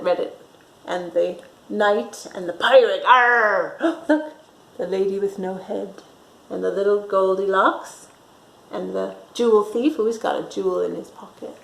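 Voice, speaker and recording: A woman reading a picture book aloud to young children in a bright, sing-song storytime way, doing little voices for the characters. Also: medium pitch, gravelly. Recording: echoey room.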